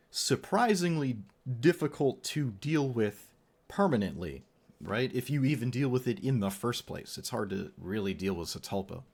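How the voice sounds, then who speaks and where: Male streamer, conversational unscripted game commentary, opinionated asides, slightly tired voice. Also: medium pitch. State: voice getting tired, losing it. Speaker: streamer reviewing card game decks.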